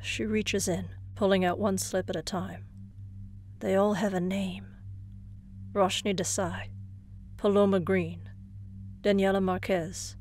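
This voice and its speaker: A woman's voice: mid-range voice, flat delivery, measured pace, long pauses, darkish tone, slight background noise. A woman narrator reading a suspenseful scripted horror story.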